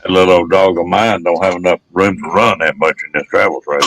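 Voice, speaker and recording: Deep, gravelly man chatting casually. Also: steady tone, fast talker, a bit bright, poor audio. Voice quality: deep, damaged-throat gravelly voice. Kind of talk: casual chat.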